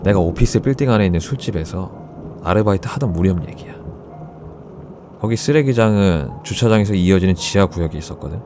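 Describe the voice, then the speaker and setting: Low-voiced man telling a ghost story. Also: mellow. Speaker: horror radio narrator. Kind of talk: first-person ghost story narration in casual spoken Korean. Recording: background music under the voice. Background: music.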